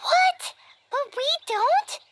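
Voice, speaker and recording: Squeaky tiny childlike companion. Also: female voice, animated, extremely slow, noisy background, exaggerated voice. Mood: surprised. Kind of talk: video game voice acting.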